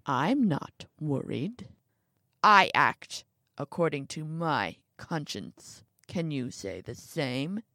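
A woman's voice: sits low, big pitch swings, bright, forceful tone, no echo, clean studio sound, voice pushed down, heavily acted. A woman doing a bitter, scheming old man. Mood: defiant. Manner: slow, growling and vengeful, rising to rants.

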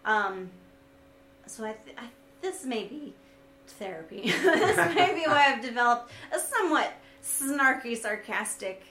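A woman with a high, sweet, gentle-sounding voice, talking casually and reflectively as a co-host, with a wry, self-deprecating manner. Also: expressive, measured pace. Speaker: vlogger and co-host discussing their video series. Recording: echoey room, light background noise.

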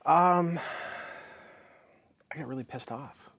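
A man, a counselor talking about his life in a frank, lively way as an interview guest. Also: on the high side, slow, vocal fry, breathy edge, dry recording. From Canada. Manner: frank and lively, full of fillers and restarts. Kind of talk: casual interview storytelling.

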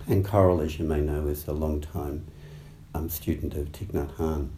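A man with a croaky voice giving a dharma talk as a meditation teacher, speaking haltingly and thoughtfully with many fillers and restarts. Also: a bass voice, steady tone, mellow. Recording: roomy echo, faint background noise.

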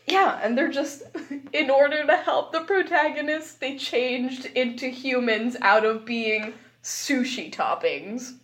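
A woman's voice: sits high, slow, fairly bright tone. A woman chatting casually as a podcast guest, giggly and exclamatory, with an incredulous way of talking. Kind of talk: casual comedic chat with a friend.

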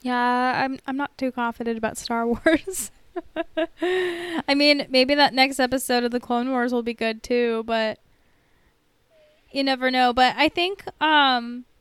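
A woman's voice: sits high, measured pace, a bit bright, smooth, no room sound. A woman chatting casually as a podcast co-host, in an informal, hedging way peppered with "like". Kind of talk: casual podcast chat about TV shows.

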